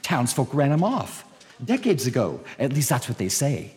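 A man's voice: mid-range voice, wildly swooping pitch, moderate pace, slight background noise. An actor playing a blunt, grudging local man in a radio drama, scornful and evasive. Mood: gossipy, scornful. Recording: reverberant hall, faint music.

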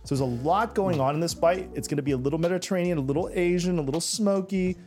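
A man's voice: sits high, fast, darkish tone, soft background music. A man working as a chef, earnestly talking through the ingredients of a dish he is serving. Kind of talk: presenting a dish.

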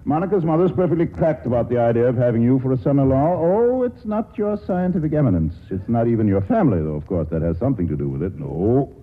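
An actor playing a witty, breezy man-about-town writer in a 1940s radio drama, arch and teasing, with a husky voice. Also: mid-range voice, big pitch swings, quick pace, hardly any pauses. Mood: wry. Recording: tinny old radio broadcast.

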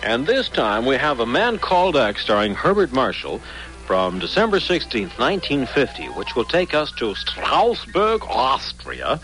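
A man's voice: medium pitch, very animated, measured pace, a bit bright, rough recording. A man hosting a radio programme, reading a scripted introduction. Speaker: radio host. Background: music.

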